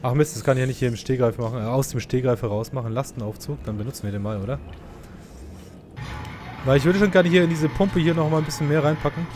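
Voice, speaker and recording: A man's voice: mid-range voice, quick pace, background noise. A man giving casual, slangy gaming commentary, playfully griping and talking to the game's characters. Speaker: let's player.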